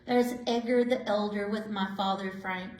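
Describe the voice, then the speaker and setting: A woman voicing a kindly, content elderly woman who gently reminisces and comforts in a rhyming picture book. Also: medium pitch, steady tone, normal speed, close to an everyday voice. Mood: fond reminiscing. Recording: roomy, echoey indoor sound.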